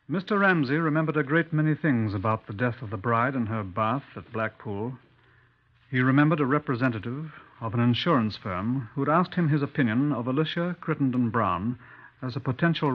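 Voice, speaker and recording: A male actor plays a British police inspector of 1950s radio drama, brisk and dry-humoured. He speaks at a middling pitch with clipped, courteous, probing delivery. The old broadcast recording is dull and lo-fi. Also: expressive, close to an everyday voice. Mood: measured narration. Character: brisk, dry-humoured Scotland Yard police inspector narrating his case.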